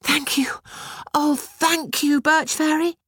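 A woman voicing a dutiful, tearful young peasant girl in a storybook, with a high, breathy, pleading voice. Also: measured pace, no echo, studio quality. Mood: overjoyed.

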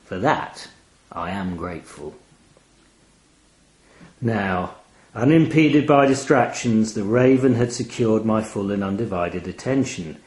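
A man reading a first-person literary short story in an expressive, measured, wry manner. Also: fairly low pitch, roomy sound. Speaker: audio story reader.

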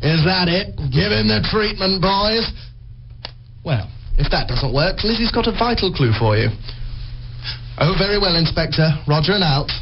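Earnest muddled amateur sleuth in a radio drama, bit muffled, faint noise. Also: male voice, sits high, big pitch swings, normal speed, vocal fry, long pauses, full character voice. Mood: excited. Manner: hesitant, muddled, eager. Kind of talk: radio play acting.

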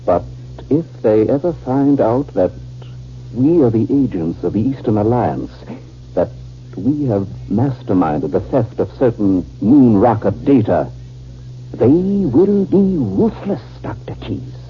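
An actor playing a nervous, formal army surgeon in a 1950s radio drama. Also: male voice, mid-range voice, sing-song, slow, slight background noise. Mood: anxious. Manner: anxious, formal, emphatic repetition. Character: nervous male army surgeon, secretly an enemy agent. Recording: muffled, band-limited 1950s radio recording.

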